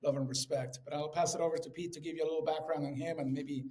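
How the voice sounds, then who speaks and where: A middle-aged man from Colombia, slightly high-voiced, talks through his career as a podcast guest. He rambles warmly with many fillers and animated asides. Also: fast talker. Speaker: podcast guest, creative director. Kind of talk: casual interview talk.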